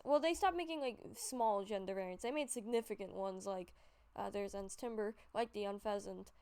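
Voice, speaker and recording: A woman's voice: on the high side, darkish tone, clean tone. A woman with a stuffy nose giving rambling, casual commentary over gameplay, with frequent fillers and self-corrections. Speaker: YouTuber showing a game collection.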